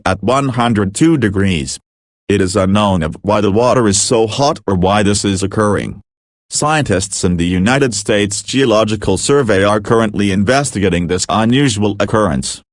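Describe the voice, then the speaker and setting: Guy acting as a frazzled doomsday alert announcer, lowish male voice, dry close sound, amateur animated drama. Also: dry recording, close to an everyday voice. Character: frazzled doomsday emergency broadcast announcer, blurting out dismay. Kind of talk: amateur scripted emergency broadcast narration with informal outbursts.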